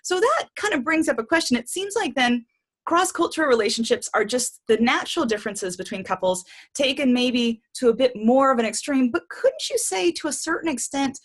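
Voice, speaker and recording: A woman hosting an interview, reacting with enthusiasm and thinking through her questions out loud. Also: high voice, lively intonation, fairly quick. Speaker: interview host.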